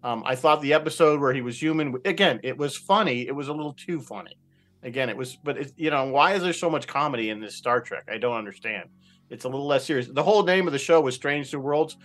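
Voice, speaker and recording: A man's voice: mid-range voice, expressive, quick pace, a bit bright, soft background music. A man sharing opinions casually on a radio roundtable, hedging and repeating himself as he goes.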